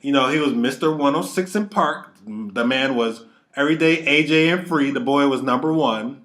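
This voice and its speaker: A man in his late twenties hosting a casual chat, talking with hype and drawn-out slang. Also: mid-range voice, a bit bright. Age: 20s. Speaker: channel host.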